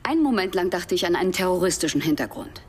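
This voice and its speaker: Lively, probing woman. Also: on the low side, fast talker, slightly rough. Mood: intent, suspicious. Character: sharp, questioning woman pursuing a mystery.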